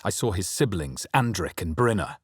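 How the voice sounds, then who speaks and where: A man voicing a wary, brooding adventurer hero in a fantasy video game, narrating in the first person with dramatic, measured intensity. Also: low-pitched, lively intonation, husky, no echo, studio quality. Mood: grave, brooding. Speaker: video game player character voice.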